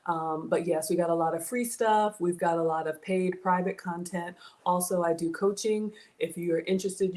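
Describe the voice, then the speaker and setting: A woman born in Ghana and raised in the American South, hosting a casual live cooking stream from her home kitchen, chatting with viewers and reading their comments aloud. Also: lowish voice. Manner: chatty and warm with viewers, thanks supporters, says "y'all". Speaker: cooking livestream host.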